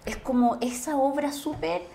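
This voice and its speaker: A middle-aged Chilean woman, an actress, talking casually and effusively as an interview guest, often pausing to search for words. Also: sits high, animated, dark tone. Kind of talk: casual conversation, reflecting on acting work.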